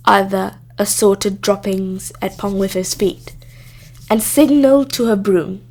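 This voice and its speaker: A woman with a high voice narrates a children's storybook. She reads from the text in an expressive storytelling style. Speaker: storybook reader.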